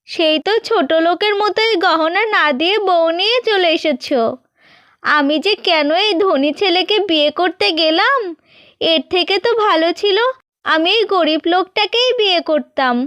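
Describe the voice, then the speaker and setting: A woman's voice: very high-pitched, fairly bright tone, no room sound. A woman voicing a bitter, demanding young wife in a cartoon, indignant and reproachful. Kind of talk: scripted cartoon dubbing.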